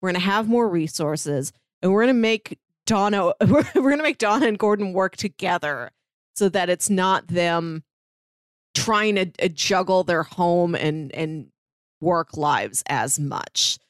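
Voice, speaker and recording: Female podcast co-host, lively, laughs often, dry close sound. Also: lowish voice, measured pace, dry recording. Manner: animated, laughs often while talking. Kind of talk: casual podcast discussion.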